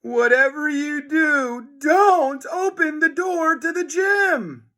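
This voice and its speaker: A man's voice: very high voice, slow pace, sharp tone, voice pushed up, full character voice. A man voicing frantic, pleading schoolboys in trouble from a children's storybook, exclaiming as he reads. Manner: stammering, exclaiming. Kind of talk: children's storybook character reading.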